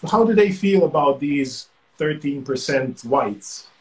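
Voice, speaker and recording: A man's voice: on the high side, expressive, a little slow. Friendly man with a roomy echo. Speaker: wine tour guide. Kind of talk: casual chat.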